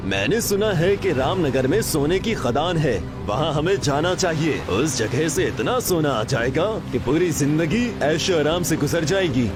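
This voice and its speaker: A man's voice: on the high side, expressive, unhurried, hardly any pauses, music in the background. A man voicing a commanding, blunt pirate chief weary of captivity in a story. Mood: greedy.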